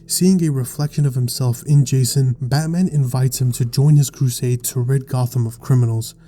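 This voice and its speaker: A man narrating a scripted explainer in a measured, articulate storytelling way. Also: mid-range voice, fairly even intonation, mellow. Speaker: video essay narrator.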